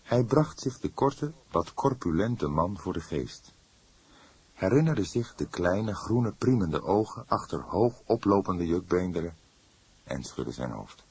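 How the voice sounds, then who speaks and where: A man reading a detective novel as an audiobook narrator, in measured, dramatic storytelling. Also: sits low, very animated, darkish tone, breathy edge.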